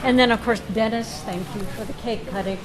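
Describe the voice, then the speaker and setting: A woman chairing a club meeting with informal, unscripted remarks, often halting and restarting her sentences. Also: a little high, noisy background.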